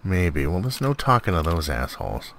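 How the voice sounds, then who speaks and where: Very low male voice, laid-back, rambling, casual gameplay commentary, video host. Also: very animated, normal speed, gravelly, a bit bright, slight background noise. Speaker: video host, mod reviewer.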